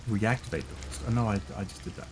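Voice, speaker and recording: A man's voice: lowish voice, quick pace, background noise. A male streamer giving casual gameplay commentary, thinking aloud and muttering to himself.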